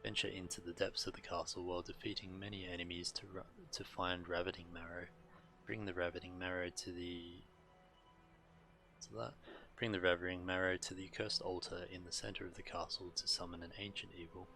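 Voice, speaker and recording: Low, flat-voiced man narrating gameplay. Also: a little fast, a little hiss or hum. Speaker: video game streamer. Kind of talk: casual game commentary, reading on-screen text aloud.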